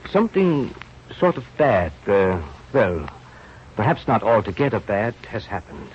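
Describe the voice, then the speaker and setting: An actor playing an old, kindly, rueful scientist, speaking in halting, formal phrases in an old radio drama. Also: male voice, lowish voice, big pitch swings. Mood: reluctant, regretful. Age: older. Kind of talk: 1930s-40s radio drama acting. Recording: muffled, noisy old radio recording.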